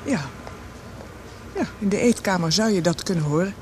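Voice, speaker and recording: An actress playing a guarded, grieving daughter in a radio drama, evasive and formal in manner. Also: female voice, mid-range voice, normal speed, natural voice. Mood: evasive, impatient. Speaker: actor in a radio play. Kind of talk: Dutch radio drama acting. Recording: clearly noisy drama recording.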